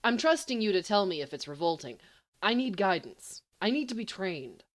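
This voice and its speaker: A woman's voice: medium pitch, sing-song, moderate pace, close to an everyday voice. A woman reading the part of a lovesick, self-doubting teenage boy in a storybook, earnest and hesitant. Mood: anxious, sheepish.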